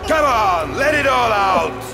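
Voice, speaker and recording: Creaky, gloating villain doctor. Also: male voice, sits high, very animated, heavily acted. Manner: mocking, theatrical, drawn-out menacing delivery. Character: gloating villainous male doctor commanding undead, theatrically cruel. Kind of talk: full-cast audio drama acting. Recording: dramatic music behind.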